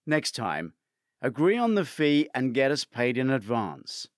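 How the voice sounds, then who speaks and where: A man's voice: medium pitch, sing-song, a little slow, no room sound, studio quality. A man voicing an injured, sardonic male investigator in an audiobook, terse and questioning. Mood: wry. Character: injured groggy male investigator, blunt, sardonic, demanding answers.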